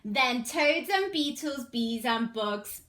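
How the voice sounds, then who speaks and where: A woman storyteller with a high-pitched voice reads to young children. She goes slowly, with sing-song, exaggerated emphasis. The recording has a clear room echo. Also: lively intonation, fairly bright tone. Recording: roomy, echoing indoor sound.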